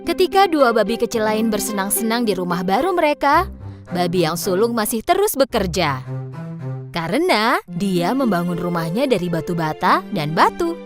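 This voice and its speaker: A woman's voice: medium pitch, big pitch swings, moderate pace, over music. A woman narrating a scripted children's story as the storyteller.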